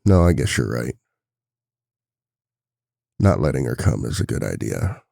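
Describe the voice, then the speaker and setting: A man playing a devoted, worried single-dad boyfriend in an intimate ASMR roleplay, confiding softly with a gravelly voice. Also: very animated, measured pace, no room sound, clean studio sound, exaggerated voice. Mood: worried, venting. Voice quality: very deep, gravelly.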